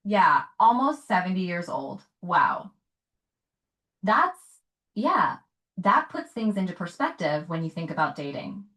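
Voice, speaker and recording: A woman speaking calmly and evenly, paraphrasing what she hears and asking follow-up questions. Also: on the low side. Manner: calm, measured, paraphrases and asks follow-up questions. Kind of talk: conversational advice.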